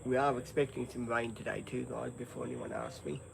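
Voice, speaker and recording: A man's voice: medium pitch, flat delivery, a little fast, vocal fry, background noise. An Australian man in his fifties chatting on a casual livestream, hesitant and rambling with many ums. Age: 50s. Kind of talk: casual live-stream chat. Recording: crickets and insects behind.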